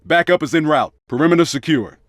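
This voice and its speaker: Man playing male undercover police officer, high-pitched, brisk, commanding, clipped combat callouts, video game, dry close recording. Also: dry recording, lightly acted. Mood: businesslike, alert. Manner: clipped, urgent, tactical. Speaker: video game player character voice.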